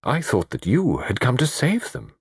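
Man voicing an earnest, excitable storybook gentleman, pitched up. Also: moderate pace, creaky, breathy edge, dry recording, studio quality, full character voice. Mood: humbled. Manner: exclaiming, earnest. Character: earnest, easily astonished gentleman, humble yet self-important. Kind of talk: audiobook character dialogue.

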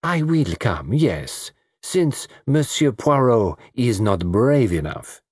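Man putting on a coquettish South American woman, lilting, husky. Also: medium pitch, big pitch swings, no echo, studio quality, pitched above the performer's own voice, exaggerated voice. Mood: coy. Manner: coquettish, drawn-out phrasing.

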